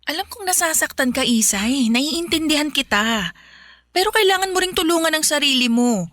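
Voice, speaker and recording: Very high-voiced, frank Filipino sister. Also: female voice, animated, moderate pace, a bit bright, no room sound, clean studio sound. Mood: earnest, pressing. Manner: blunt, scolding, earnest. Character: frank, exasperated but caring sister of a mourner. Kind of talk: Filipino radio drama acting.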